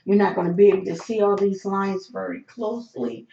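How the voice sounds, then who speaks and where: A hoarse host explains number charts step by step, with hesitant restarts. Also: medium pitch, steady tone, a little fast, dark tone, muffled recording. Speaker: lottery number tutorial host. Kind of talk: screen walkthrough of number charts.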